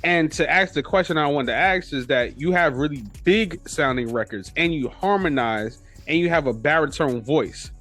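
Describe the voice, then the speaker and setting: Upbeat New York host, slightly higher voice. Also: male voice, normal speed, hardly any pauses, fairly bright tone, soft background music. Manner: enthusiastic, affirming, rambling questions. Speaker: podcast host and producer. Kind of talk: casual interview talk.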